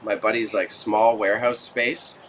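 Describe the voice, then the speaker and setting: A man's voice: on the low side, steady tone, moderate pace, a bit bright, slight background noise. A Canadian man chatting casually with viewers as a livestream host, with slangy, joking banter. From Toronto, Canada. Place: small warehouse space stacked with goods.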